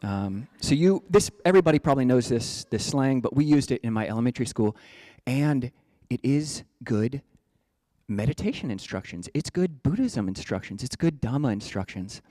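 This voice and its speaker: A man's voice: mid-range voice, expressive, normal speed, mellow, no room sound. A man from the United States, a Buddhist monk giving a casual, playful dharma talk. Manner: playful, chatty, breaks into joking slang voices.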